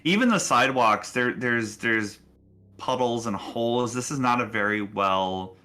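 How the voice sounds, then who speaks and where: A man narrating a tabletop game as game master, casual and halting, often rephrasing as he describes scenes. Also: medium pitch, pushed and bright.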